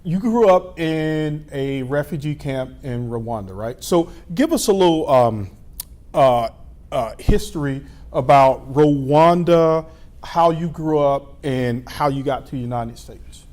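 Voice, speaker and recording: A middle-aged man hosting a local TV interview show, asking relaxed, friendly questions and filling pauses with "uh" and "um". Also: on the high side. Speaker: TV show host.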